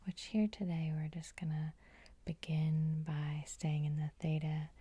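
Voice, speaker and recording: A woman hypnotherapist guiding sleep hypnosis in a soothing, unhurried, breathy ASMR whisper, close to the microphone. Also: low-pitched, flat delivery, dark tone, dry recording.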